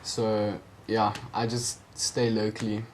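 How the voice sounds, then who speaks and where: A man's voice: lowish voice, completely flat delivery, slow pace, a little hiss or hum. Teenage South African guy, Afrikaans native. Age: teens. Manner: hesitant, lots of ums, friendly. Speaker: guest, junior tennis player. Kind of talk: casual interview answers. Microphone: video call.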